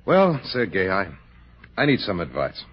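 A man's voice: low voice, huge pitch swings, unhurried, husky, full character voice. An actor playing a bitter, hard-drinking American man in a radio drama, with a loose, sardonic delivery. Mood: falsely casual. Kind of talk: 1960s radio drama acting. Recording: muffled old radio broadcast.

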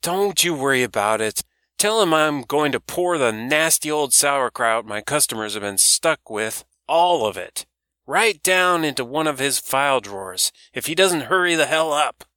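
A man doing a raspy, brash old dock woman. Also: medium pitch, lively intonation, moderate pace, no room sound, clean studio sound, voice pushed up. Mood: bossy teasing. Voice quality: raspy, loud. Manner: bossy, teasing, salty. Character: raspy brash older woman, dock hot dog vendor. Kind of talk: audiobook character voice.

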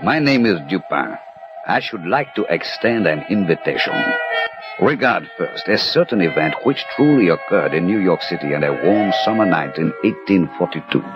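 A grave, refined detective of old radio, speaking low and formally. His deliberate phrases rise and fall dramatically. The sound is a dull vintage broadcast recording with music behind it. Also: lively intonation. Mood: grave, inviting. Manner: measured, formal, deliberate diction. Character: refined, cerebral French detective Dupin, grave and courteous.

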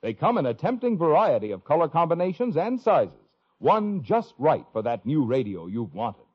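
An American man delivering an emphatic 1950s radio advertising pitch on a dull old recording. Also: very animated, moderate pace, husky. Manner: emphatic, salesmanlike. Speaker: commercial announcer.

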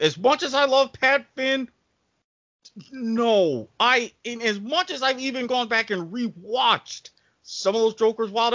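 A man speaking as a podcast panelist in a casual debate, with a deliberate, emphatic and halting delivery. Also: very high voice, pushed and bright, dry recording, muffled recording.

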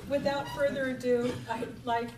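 A tired woman with a gravelly voice introducing a guest speaker, reading from notes and joking lightly. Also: sits high, flat delivery, normal speed. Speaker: event host introducing speaker. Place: lecture hall with audience. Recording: echoey hall from far off with noise.

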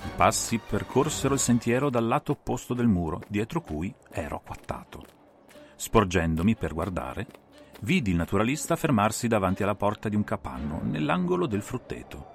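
A man's voice: fairly low pitch, very animated, normal speed, vocal fry. A man reading dramatic first-person mystery narration as an audiobook narrator. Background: atmospheric music.

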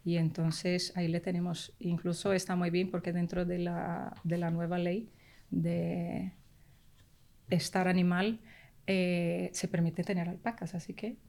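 Lady, lowish voice, loose chatty guest, laughs a lot. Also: level tone, measured pace, creaky. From Brazil. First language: Portuguese. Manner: warm, laughs often, trailing restarts. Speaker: guest soprano. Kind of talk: casual interview chat.

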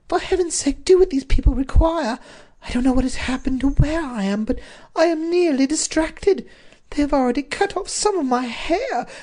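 A reader putting on a frantic captive duchess. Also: female voice, high-pitched, dark tone, voice pushed up. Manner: desperate, breathless pleading. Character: frightened captive young duchess, pleading.

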